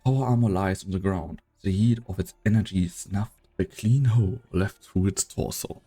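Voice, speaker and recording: A man narrating a fan dub of a game story in a measured, descriptive way. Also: deep voice, mellow, slightly rough. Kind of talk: scripted narration.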